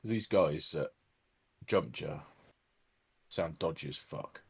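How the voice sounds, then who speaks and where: A man's voice: rumbling low, slow, gravelly, slightly rough. A man playing a tabletop role-playing game, explaining things in character in colloquial, matter-of-fact terms. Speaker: tabletop role-play player. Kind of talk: improvised in-character tabletop role-play chat.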